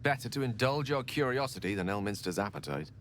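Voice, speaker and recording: A man plays an articulate wizard in a fantasy game, wry and formal. The voice sits fairly low and moves expressively through each dramatic line. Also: expressive, fast talker, very noisy, lightly acted. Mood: wry, exasperated. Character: wry, well-spoken wizard, former pupil, younger man. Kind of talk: dramatic video game voice acting.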